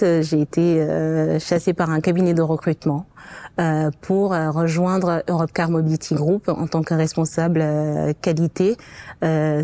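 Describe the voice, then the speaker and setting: A woman's voice: sits low, dark tone. A woman from Portugal, speaking French as an additional language, gives a personal testimony as an interview guest, with frequent stops and restarts. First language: not French. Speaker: interview guest, quality manager.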